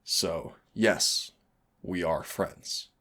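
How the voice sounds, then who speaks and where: A very low-voiced male demon, acted by a man in an audio roleplay. He drawls at a slow pace, sardonic and cocky, with a teasing, exasperated fondness for the human he trains. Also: pushed and bright, full character voice. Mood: exasperated, fond. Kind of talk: scripted roleplay audio acting.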